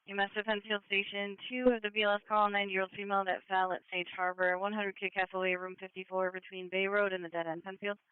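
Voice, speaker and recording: A man's voice: high-pitched, flat delivery, fast, few pauses, clean tone, dry recording. A man dispatching fire and ambulance calls in radio dispatch style over a two-way scanner channel. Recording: narrow, tinny scanner radio.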